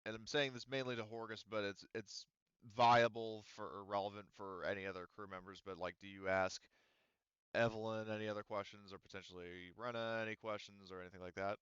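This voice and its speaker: Game master, man, low smooth voice, rambling fillers, slightly dull voice-chat sound. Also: fairly even intonation, brisk, fairly bright tone, dry recording. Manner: rambling, lots of ums and likes. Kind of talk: casual tabletop game narration. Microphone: headset or desk mic on voice chat.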